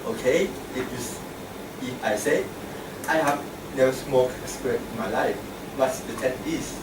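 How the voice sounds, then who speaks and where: A man's voice: mid-range voice, moderate pace. A man acting as grammarian, giving a short grammar lesson with worked examples in a club meeting room. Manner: plain, repetitive teaching with worked examples. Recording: echoey room, distant mic, air conditioning hum.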